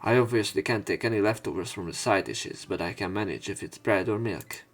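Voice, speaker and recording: A man's voice: fairly low pitch, brisk, faint music behind. A man reading visual novel narration aloud as a let's player, with casual commentary. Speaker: let's play streamer.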